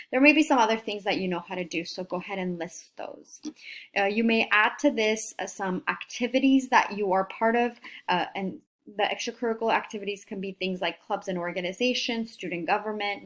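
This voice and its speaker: Upbeat woman coaching through a tutorial. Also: mid-range voice. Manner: upbeat, encouraging, talks while clicking through steps. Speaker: career coach and instructor. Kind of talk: screen-recorded tutorial.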